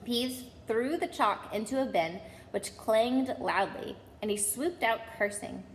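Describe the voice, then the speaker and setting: A woman's voice: a little high, animated, a little slow. A woman reading a children's novel aloud as a storyteller. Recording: echoey hall, faint background noise.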